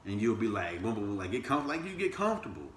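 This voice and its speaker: Deep-voiced man in his thirties, echoey room. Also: fast, slight background noise. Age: 30s. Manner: animated, swings to high teasing pitch when joking. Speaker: podcast co-host. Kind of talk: casual couple chat.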